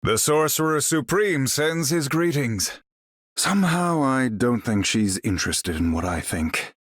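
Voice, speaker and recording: A proud male sorcerer supreme from a video game. His delivery is grand and formal, as if every line were an incantation, with very animated pitch swings. The sound is dry and close. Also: unhurried, no echo. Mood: proud, solemn. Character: proud, battle-ready sorcerer supreme, grandly theatrical hero. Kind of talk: video game character voice lines.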